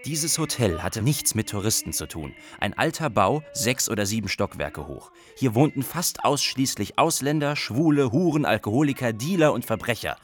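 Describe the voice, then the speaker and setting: A man's voice: medium pitch, sing-song, normal speed, few pauses, soft background music, natural voice. A man acting as a refugee, narrating in a matter-of-fact way in a radio play. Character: matter-of-fact male refugee describing a seedy city.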